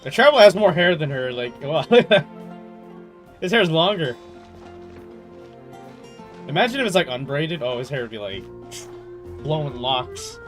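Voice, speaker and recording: A man's voice: on the high side, big pitch swings, unhurried, long pauses. A man giving casual gameplay commentary, rambling and musing to himself as he plays. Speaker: game streamer. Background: game music.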